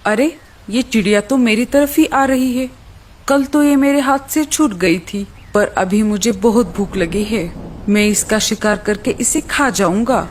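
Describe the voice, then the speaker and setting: A woman's voice: a little high, unhurried, a little hiss or hum, lightly acted. A woman voicing a greedy, hungry male hawk villain who gloats in a children's cartoon story. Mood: greedy, gloating. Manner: gloating, possessive.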